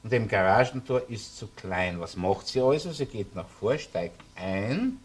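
A middle-aged man with a Viennese accent playing a shrewd, brisk senior police inspector in a radio crime drama, colloquial and gruffly businesslike. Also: fairly low pitch, expressive. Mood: reasoning, businesslike. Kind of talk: German-language radio crime drama acting.

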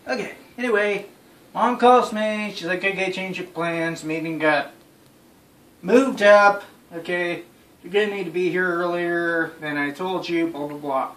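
A man's voice: high-pitched, a little slow, a bit bright. Emphatic young man, roomy distant recording. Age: young adult. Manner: exasperated, emphatic, repetitive. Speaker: storyteller. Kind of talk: casual storytelling among friends. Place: small bedroom, indoors. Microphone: phone camera across the room. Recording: roomy, noisy recording, distant phone mic.

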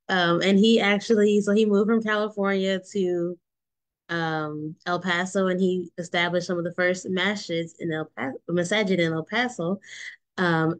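Young woman from houston on a zoom call, lowish voice, dry close sound, chatty and laughing. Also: dry recording. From Houston, Texas. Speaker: guest. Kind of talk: casual video call chat. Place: indoor room. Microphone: video call, computer mic.

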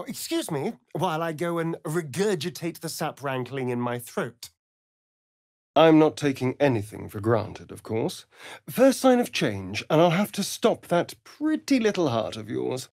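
An actor playing a centuries-old, flamboyant and sardonic vampire rogue in a video game, with an arch, theatrical drawl. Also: male voice, a little high, big pitch swings, a little slow, gravelly, no echo, clean studio sound, full character voice. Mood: sly, menacing. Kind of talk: video game character acting.

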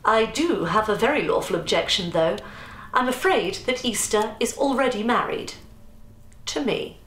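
A woman voicing a smooth, menacing man who returns after years away, in an echoey room. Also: a little high, expressive, moderate pace, fairly bright tone, slight background noise, voice pushed up. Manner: smooth and silky, dropping to a whisper. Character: smooth, menacing long-lost father, adult man.

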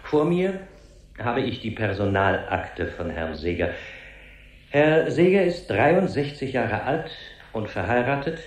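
Slow, ponderous statesman, echoey room. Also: mid-range voice, sing-song. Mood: grave, official. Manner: measured, ponderous, official. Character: solemn male Secretary-General reporting gravely on a staff file. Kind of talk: formal briefing in 1970s radio drama.

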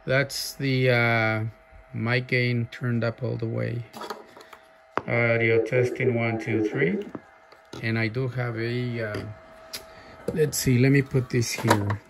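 A man casually showing off a radio he is selling, talking haltingly with many fillers and breaking into loud clipped mic tests. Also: medium pitch, level tone, vocal fry, a crowd in the background. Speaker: seller demonstrating a radio. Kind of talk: casual off-the-cuff product demo. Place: indoor workshop bench.

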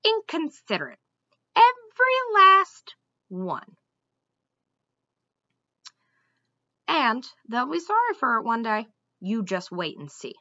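A woman's voice: fairly high pitch, wildly swooping pitch, measured pace, pushed and bright, no echo, muffled recording, heavily acted. A woman voicing a bossy, huffy female squirrel who lectures emphatically in a storybook read-aloud. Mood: indignant, scolding.

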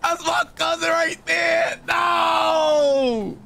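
A man streaming a role-play game, chattering in character in excitable slang and repeating his phrases. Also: very high voice, very slow, creaky, shouting, a faint crowd. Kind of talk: in-character live gameplay chatter. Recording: sirens and vehicle noise behind voice. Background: game sirens and car sounds.

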